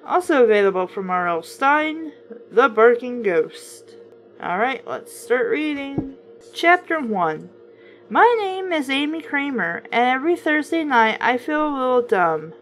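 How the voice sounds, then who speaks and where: A woman with a fairly high voice reads a children's book aloud with some music behind her. She is informal and chatty, dropping in wry, skeptical asides as host and reviewer. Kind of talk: casual read-aloud with offhand commentary.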